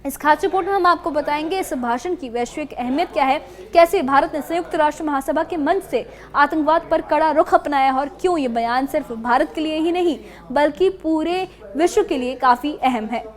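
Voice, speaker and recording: A woman's voice: sits high, a little fast, hardly any pauses. A woman reading a scripted news report as a reporter. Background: background music bed.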